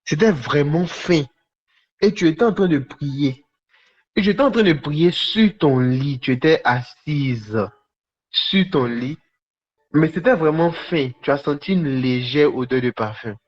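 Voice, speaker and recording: A man preaching in a conversational, charismatic style to online viewers, repeating short phrases for emphasis with pauses between them. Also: a little high, lively intonation. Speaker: preacher hosting a live online prophetic session. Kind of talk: charismatic sermon and prophetic teaching, conversational, addressing viewers. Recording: dull, lo-fi livestream audio.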